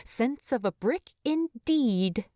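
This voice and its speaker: A woman reading a story as an audiobook narrator, expressive and dramatic, close to the microphone. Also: fairly high pitch, takes it slowly, no room sound. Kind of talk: expressive scripted fiction narration.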